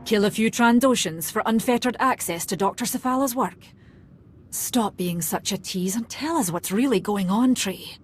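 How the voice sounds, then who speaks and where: An actress playing a self-assured imperial research officer in a science fiction game, formal yet wry and needling. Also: female voice, a little high, normal speed, breathy edge. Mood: wry, teasing. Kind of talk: video game cutscene acting. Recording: music behind the voice.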